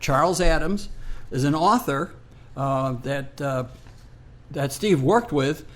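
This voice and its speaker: An American man co-hosting a radio show, explaining background in an unscripted, halting way. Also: on the high side, fairly bright tone. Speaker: radio co-host.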